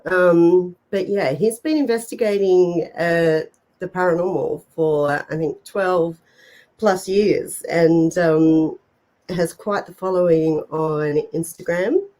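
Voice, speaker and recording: A woman speaks in a somewhat low, stuffed-up voice, clearly nursing a cold. She hosts a live stream at a slow, easygoing pace, rambling warmly with plenty of "um"s and little laughs, as if on a video call. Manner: chatty, rambling, many fillers and laughs. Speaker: host. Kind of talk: casual live-stream chat.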